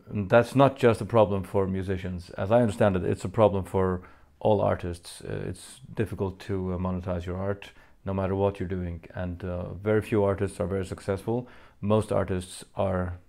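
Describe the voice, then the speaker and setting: A man from the Faroe Islands, a native Faroese speaker, answering fan questions in a casual monologue, halting with many fillers and restarts. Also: low voice, little pitch movement, vocal fry, dark tone.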